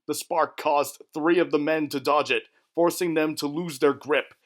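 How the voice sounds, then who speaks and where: A man's voice: a little high, fairly even intonation, moderate pace. A man narrating a scripted radio drama in a theatrical, tongue-in-cheek manner.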